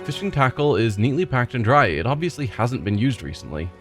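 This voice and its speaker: A man hosting a let's play, reading game text aloud and musing in a relaxed, chatty way. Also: mid-range voice, a little fast, music in the background. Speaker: gaming channel host.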